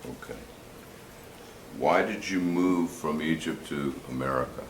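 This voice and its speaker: Lawyer guy with a super deep voice asking plain questions, roomy courtroom echo. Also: unhurried, creaky, a little hiss or hum. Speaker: attorney questioning a witness. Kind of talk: direct examination.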